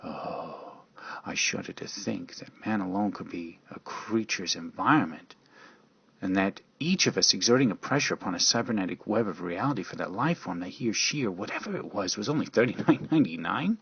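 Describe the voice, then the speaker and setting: Male narrator for amateur radio drama: low, rough voice, brooding theatrical read, lo-fi sound. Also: animated, brisk, fairly bright tone. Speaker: storyteller narrator. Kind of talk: dramatic first-person fan fiction narration.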